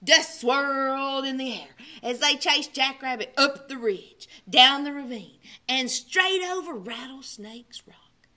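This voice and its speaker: Children's storyteller, woman: unhurried, bright, theatrical, highly expressive delivery. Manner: theatrical, emphatic, with hushed dramatic drops. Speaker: librarian storyteller. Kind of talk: animated children's storybook narration.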